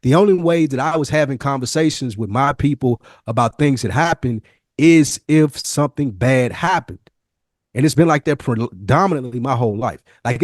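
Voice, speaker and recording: A man's voice: medium pitch, no echo, clean studio sound. A man with an American accent speaking candidly in slangy, sometimes profane, reflective podcast conversation. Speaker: podcast co-host. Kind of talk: casual reflective podcast chat.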